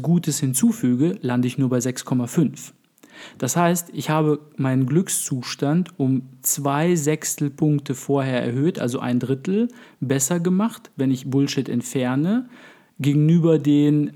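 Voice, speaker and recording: Slightly hoarse male podcast host, mellow tone, thoughtful and rambling. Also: a little high. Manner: thoughtful, explains at length with many fillers. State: under the weather, voice a bit hoarse. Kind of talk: casual podcast chat.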